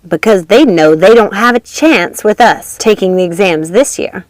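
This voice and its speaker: A woman's voice: medium pitch, lively intonation, normal speed, dry recording, natural voice. A woman voicing a smug young female fairy student in a boastful manner.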